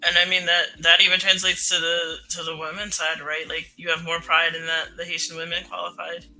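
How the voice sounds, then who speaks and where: A woman co-hosting a podcast, chatting in an animated way with laughter and frequent "right?" tags. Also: on the low side, brisk, faint music behind, poor audio. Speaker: podcast co-host. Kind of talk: casual podcast chat.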